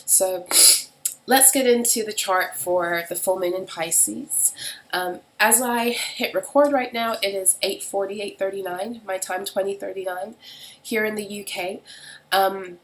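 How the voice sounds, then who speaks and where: A woman reading tarot and astrology in a casual solo video, hoarse and raspy from a head cold, talking in a halting way with frequent ums. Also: fairly even intonation, rough recording. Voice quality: raspy, deeper than usual. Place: at home in the UK.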